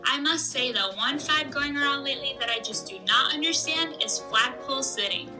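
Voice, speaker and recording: A woman's voice: sits high, moderate pace, pushed and bright, soft background music. A woman playing a chatty, upbeat radio host in a scripted 1920s-style show, with chirpy period banter.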